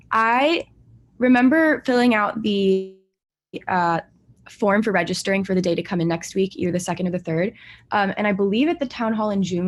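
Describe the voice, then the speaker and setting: A woman's voice: medium pitch, gravelly, a little hiss or hum. Apologetic woman asking on a video call. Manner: apologetic, hesitant. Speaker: new member asking a question. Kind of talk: casual question on a video call.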